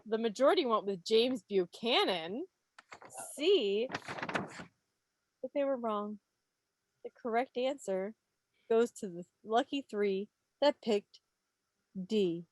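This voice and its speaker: A woman hosting a club quiz on a video call, reading questions and answers in an expressive, playful way with casual asides. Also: on the high side, a little hiss or hum. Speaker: quiz host at a club meeting. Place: online video meeting. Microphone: video call microphone.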